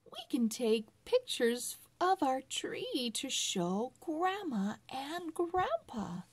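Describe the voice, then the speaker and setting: Storybook small boy read by a woman: curious, keen, very slow and emphatic, slightly breathy. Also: high-pitched, very animated, voice pushed up, heavily acted. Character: curious, enthusiastic little boy in a Christmas storybook.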